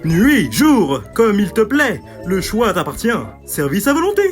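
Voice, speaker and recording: An actor voicing a manic showman ringmaster AI in an animated fan dub, with a grandiose announcer's delivery. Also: medium pitch, measured pace. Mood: showy, enthusiastic. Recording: music behind the voice.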